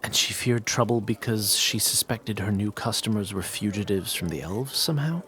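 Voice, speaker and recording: A man playing a cool-tempered, wistful city guard officer in a fantasy audio drama, speaking in a measured, hesitant way. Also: fairly low pitch, fairly bright tone, lightly acted. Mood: guarded curiosity.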